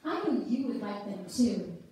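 A woman's voice: mid-range voice, expressive, normal speed, dark tone, lightly acted. A woman playing a folksy narrator who addresses the audience in a staged play reading. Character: folksy female narrator of a small-town play. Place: church sanctuary stage, far camera. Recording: very echoey church hall from far off, faint noise.

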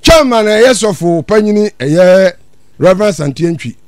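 High-pitched, animated older Ghanaian male preacher. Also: normal speed, no echo, studio quality. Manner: emphatic, repetitive, denouncing. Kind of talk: impassioned prophetic sermon in Twi with English phrases.

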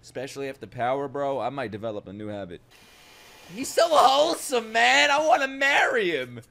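A young man reacting to an anime from his home streaming setup, his voice leaping up and down as he gets worked up. He swears freely and bursts into shouts and laughter. He sits close to a desk mic on a boom arm. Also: animated, normal speed, creaky. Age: young adult. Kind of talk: casual reaction commentary. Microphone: boom-mounted desk mic, wearing headphones.

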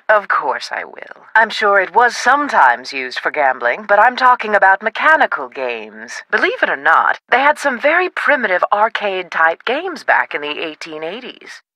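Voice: mid-range voice, pushed and bright, dry recording, rough recording. An actor playing a self-assured, chatty, theatrical historical novelist in a video game. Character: high-spirited, self-promoting writer of historical novels, local history buff. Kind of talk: video game character dialogue.

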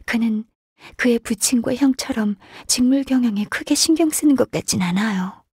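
Shy polite half-qilin secretary girl from a game, really breathy n soft, kinda high, hesitant. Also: lively intonation, normal speed, no room sound, clean studio sound, exaggerated voice. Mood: calm, reflective. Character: gentle, shy, earnest half-qilin secretary, young-looking woman centuries old. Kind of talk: video game character voice lines.

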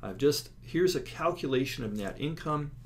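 A man's voice: a little high, normal speed. A man giving an instructional lecture, explaining slides step by step and stressing key words. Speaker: accounting instructor. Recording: clear steady background noise.

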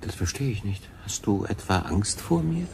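A man's voice: lowish voice, very animated, normal speed, creaky, background noise. An actor playing a stranded solo sailor in a radio drama, a curious man who speaks in a questioning, reflective way. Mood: puzzled.

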